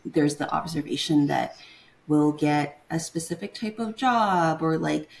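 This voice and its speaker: Young woman guest from Taiwan, low voice, chatty and hesitant, lots of fillers. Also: fairly even intonation, unhurried, vocal fry, darkish tone, slight background noise. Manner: hesitant, searching for words with many fillers. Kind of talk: casual interview conversation.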